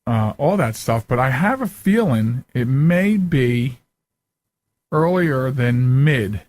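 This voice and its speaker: A man's voice: medium pitch, expressive, slow pace, darkish tone, no room sound. A man in his forties hosting a casual, jokey interview as he chats with his guest. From United States, Philadelphia area. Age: 40s. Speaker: show host.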